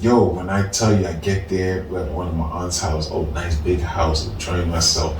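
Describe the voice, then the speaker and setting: Podcast co-host, male, lively raconteur who acts out other voices, recorded in an echoey room from off-mic with audible noise. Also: low-pitched, moderate pace. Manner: animated, acts out quoted voices, laughs often. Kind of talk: casual storytelling chat. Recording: roomy, distant mic with noise.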